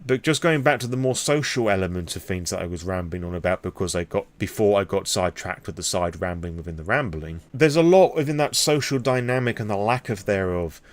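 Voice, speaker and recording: A man's voice: sits low, animated, a little fast, hardly any pauses. A British man rambling candidly and off the cuff in a vlog, opinionated and swearing freely. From Britain. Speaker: vlogger on tabletop roleplaying lore.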